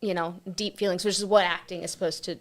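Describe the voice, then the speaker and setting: A woman's voice: lowish voice, steady tone, fast talker. A woman talking as a guest in a casual chat, earnest and enthusiastic.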